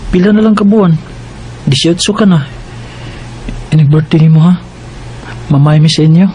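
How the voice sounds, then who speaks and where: Man acting an eager, high-voiced young Filipino sweetheart. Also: normal speed, noisy background, natural voice. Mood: pleading, insistent. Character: eager, insistent teenage boyfriend turned young husband. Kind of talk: Filipino radio drama acting.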